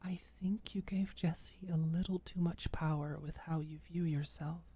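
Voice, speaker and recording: A woman voicing a gentle, hesitant young woman in an ASMR audiobook, mumbling softly and reassuringly. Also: low voice, steady tone, husky, pitched above the performer's own voice. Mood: tender, reassuring. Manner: soft mumbling, halting. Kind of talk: audiobook character dialogue, ASMR.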